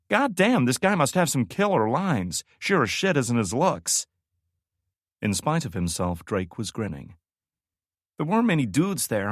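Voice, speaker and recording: A brash young soldier woman rattles off a raunchy story with loud, swearing glee, as a male narrator voices her. Her voice sits raised and bright over a dry, close audiobook read. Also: on the high side, no echo, voice pushed up. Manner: boisterous, swearing, gleeful storyteller.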